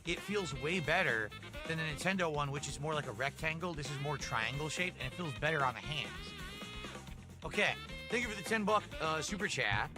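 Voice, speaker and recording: A man's voice: fairly high pitch, animated, a little fast, music in the background. A man on a live gameplay stream, chatting casually and swearing as he reacts to the game.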